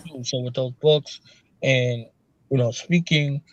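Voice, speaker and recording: A man's voice: mid-range voice, fairly even intonation, unhurried, highs cut off. A man hosting an interview, talking in an encouraging way and often asking "you see what I'm saying?". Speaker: interview host. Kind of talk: conversational interview.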